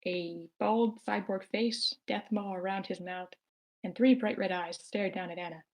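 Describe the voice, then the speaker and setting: Mid-pitched woman narrating a screenplay. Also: steady tone, unhurried, rough recording. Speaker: narrator of table read. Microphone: remote online meeting.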